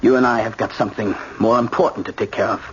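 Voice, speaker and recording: Intense, bitter young American son. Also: male voice, fairly low pitch, steady tone, quick pace, breathy edge. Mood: accusing, menacing. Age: young adult. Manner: intense, curt, insistent. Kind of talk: old-time radio drama acting. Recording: dull old radio broadcast with hiss.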